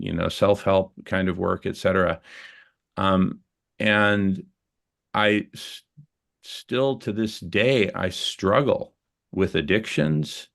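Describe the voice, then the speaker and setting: Deep gravelly man telling his story. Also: a bit bright. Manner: earnest, halting, reflective, with many fillers and restarts. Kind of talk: personal storytelling in a group conversation.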